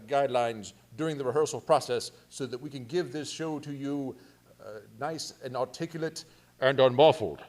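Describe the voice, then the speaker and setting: A man hosting a community theatre show, giving a jokey, chatty introduction and announcements. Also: on the high side. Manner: jokey, chatty, breaks into chuckles. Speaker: theatre company host.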